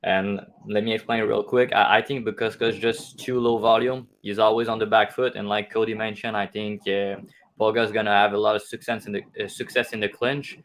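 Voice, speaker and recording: Man, low voice. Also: level tone, a little fast, slight background noise. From Quebec, Canada. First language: French. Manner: explains picks with frequent restarts. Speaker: guest panelist.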